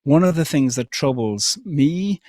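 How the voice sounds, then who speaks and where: An Irish man giving a reflective public talk at an interfaith event, measured and thoughtful, with rhetorical questions and soft hesitations. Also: fairly high pitch, animated, dry recording, studio quality. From Ireland.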